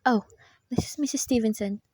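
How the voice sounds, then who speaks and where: A woman's voice: fairly high pitch, fairly quick, warm tone, breathy edge. A woman playing an agitated, demanding invalid housewife in an amateur radio play, insistent and exasperated.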